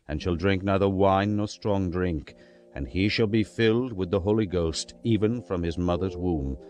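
A majestic heavenly messenger played by a man speaks in a very low register. The delivery is grave and measured, like a proclamation in an audio scripture drama, over soft music. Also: lightly acted. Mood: solemn reassurance. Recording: music behind the voice.

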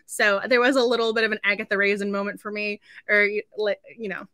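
A woman's voice: mid-range voice, flat delivery, a little fast, pushed and bright, no room sound, studio quality. A woman in her thirties hosting a casual book club livestream in a gushing, giggly way. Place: indoor room at home.

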